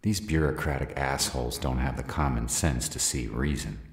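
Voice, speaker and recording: A man playing a gruff, hot-tempered were-dragon protective of his mate in an audio roleplay, gravelly, blunt and growling. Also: rumbling low, moderate pace, no room sound, clean studio sound, exaggerated voice. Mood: impatient. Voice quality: gravelly, raspy. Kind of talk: audio roleplay drama acting.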